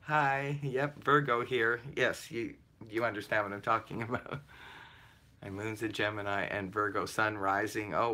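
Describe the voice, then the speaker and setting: Man, deep voice, bright forward tone, casual livestream host, chatty, laughs a lot, lots of fillers. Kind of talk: casual live chat reading viewer comments.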